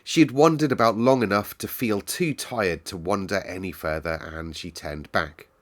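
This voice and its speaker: A man's voice: low-pitched, moderate pace, fairly bright tone. A man hosting a story time, speaking as a storyteller addressing his listeners. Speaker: storyteller and host. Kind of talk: expressive read-aloud and casual chat to listeners.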